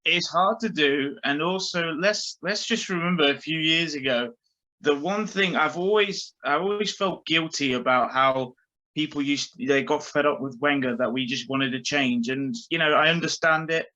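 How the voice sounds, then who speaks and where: A man's voice: fairly high pitch, normal speed, sharp tone, muffled recording. A man speaking as a panellist in a casual football podcast discussion.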